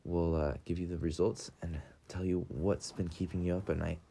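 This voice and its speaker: Male co-host, lower register, halting and giggly delivery in casual comedy banter. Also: flat delivery, creaky, dark tone, a little hiss or hum. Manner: hesitant, filler-heavy, giggly. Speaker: podcast co-host. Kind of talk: comedy sketch and casual podcast chat.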